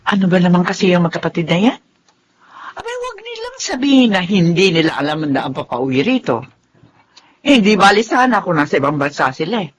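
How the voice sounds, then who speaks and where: An opinionated Filipina auntie in a radio drama, chatty and motherly. Her pitch swings widely as she scolds, with faint background noise. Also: very animated, a little fast. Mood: exasperated.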